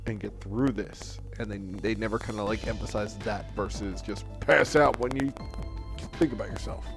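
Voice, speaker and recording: A man's voice: fairly low pitch, steady tone, normal speed, music in the background. A man talking casually and giving opinions in a group discussion about a game.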